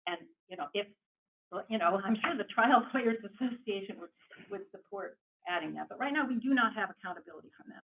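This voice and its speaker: A woman's voice: mid-range voice, animated, quick pace. A woman giving an impassioned, emphatic public comment at a committee meeting. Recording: tinny phone-like meeting audio with faint hiss.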